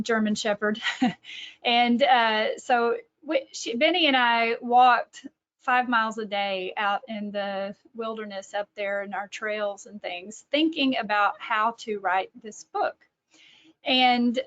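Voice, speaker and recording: A woman's voice: fairly high pitch, a bit bright. A middle-aged woman with a Texas accent, telling a personal story in a presentation, with many fillers and restarts. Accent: Texan. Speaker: author and catechesis presenter. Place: home room, indoors. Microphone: webcam on video call. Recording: dull lo-fi webcam audio.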